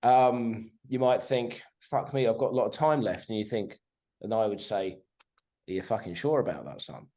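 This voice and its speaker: A man in his forties, a podcast guest on a video call, talking in a sweary, animated way and tagging his points with "right?". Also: fairly low pitch, normal speed. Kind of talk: casual conversation.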